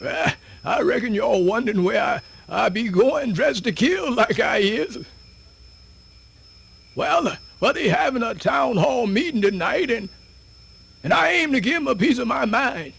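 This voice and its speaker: A creaky high voiced old southern man, folksy n playful, stammers and swoops around, radio play. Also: expressive, a bit bright, full character voice. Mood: folksy, proud. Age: older. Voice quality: raspy, creaky, high-pitched. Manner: folksy, halting, rambling with stammered repeats. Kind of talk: radio theatre monologue.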